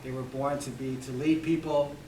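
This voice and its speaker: A man in the audience telling a story, quick and slightly halting. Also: mid-range voice, flat delivery. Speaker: audience member. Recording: echoey room, distant mic, clear noise.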